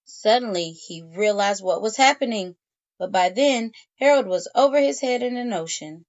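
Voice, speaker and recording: A woman reading a picture book aloud expressively for young children, phrasing deliberately and drawing out words for emphasis. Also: mid-range voice, lively intonation, lo-fi sound. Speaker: children's story reader.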